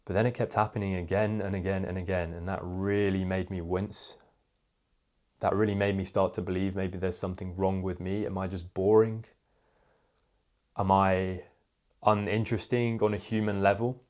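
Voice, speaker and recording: A young man talks straight to camera about social confidence, in a candid, reflective way. His voice sits a bit low and fairly monotone, loosened by frequent "like" fillers. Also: moderate pace. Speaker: social skills coach. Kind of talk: casual talking-head advice video.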